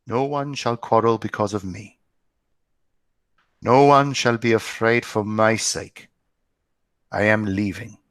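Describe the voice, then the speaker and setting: A man reading aloud, voicing a calm, resigned old rabbi who speaks slowly and evenly. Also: mid-range voice, dry recording, pitched above the performer's own voice, full character voice. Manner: calm, measured.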